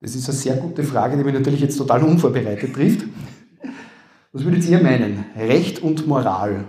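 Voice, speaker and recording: A man with an Upper Austrian accent, a lawyer speaking live to an audience, making dry joking asides that trail off low. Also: lively intonation, moderate pace, warm tone. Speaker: lawyer and comedy panelist. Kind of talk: live stage talk with jokes. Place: university hall before a live audience. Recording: echoey room.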